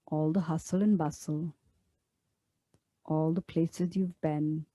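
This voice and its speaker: A woman leading a guided meditation as a teacher, speaking in a gentle, soothing, unhurried way with long pauses. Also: low-pitched, steady tone, no room sound.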